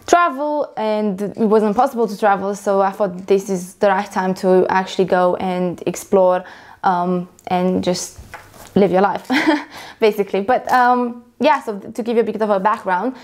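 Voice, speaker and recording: A young woman from Romania talks casually to the camera about her work as a photographer. She rambles, restarts her sentences and fills pauses with ums, in a voice of ordinary pitch. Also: darkish tone. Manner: rambling, self-correcting, many fillers. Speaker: photographer vlogger.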